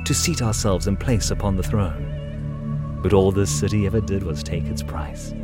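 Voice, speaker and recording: A deep-voiced, world-weary man narrates a dystopian cyberpunk audio drama. He is solemn, brooding and portentous, delivering each phrase like grand trailer copy. Loud music runs underneath him. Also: huge pitch swings, moderate pace. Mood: bitter, brooding. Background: loud new-age music.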